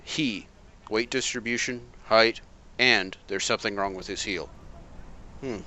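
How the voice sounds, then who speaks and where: An actor playing a calm, analytical masked vigilante detective with a dry, measured delivery. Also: male voice, on the low side. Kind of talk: amateur motion comic voice acting.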